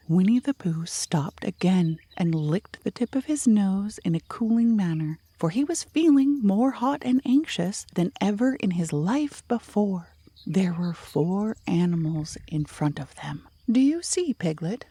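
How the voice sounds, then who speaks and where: Mellow, low-voiced woman storybook narrator. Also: no echo. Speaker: audiobook narrator.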